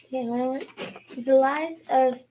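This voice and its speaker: Woman playing a high-voiced, halting queen. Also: dark tone, a little hiss or hum, natural voice, low-quality recording. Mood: hesitant pleading. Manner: halting, stumbling script reading. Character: queen pleading for her people. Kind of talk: amateur radio play acting.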